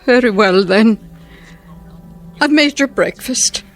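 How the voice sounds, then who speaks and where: Slow-spoken, fretful elderly wife. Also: female voice, on the high side, expressive, faint music behind. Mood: anxious, tender. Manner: gentle, fretful, careful. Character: anxious, tender, devoted elderly wife. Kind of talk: radio drama acting.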